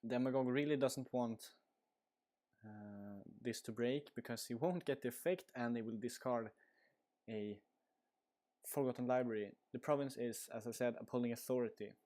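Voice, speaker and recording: A man's voice: medium pitch, normal speed, warm tone. Casual man commentating a card game. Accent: Swedish. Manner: casual, rambling, frequent uh fillers. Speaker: stream commentator. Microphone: headset.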